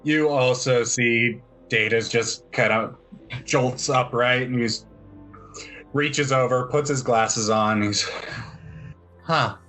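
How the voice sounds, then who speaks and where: A man's voice: mid-range voice, slow, fairly bright tone. A man working as game master, narrating a tabletop roleplaying game in a casual, conversational way. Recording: music behind the voice.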